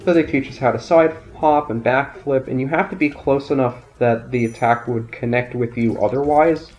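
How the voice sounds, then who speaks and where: A man calmly commentating his gameplay, thinking aloud, his voice nasal and raspy from a sinus infection. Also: medium pitch, moderate pace. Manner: calm, explanatory, thinking aloud. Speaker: let's play commentator. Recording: dull lo-fi audio, faint game music.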